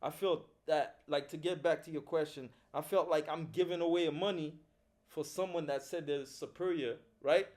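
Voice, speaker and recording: A man's voice: fairly high pitch, steady tone, moderate pace, darkish tone. A middle-aged man, a film producer talking casually and reflectively as an interview guest, using street slang. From Haiti.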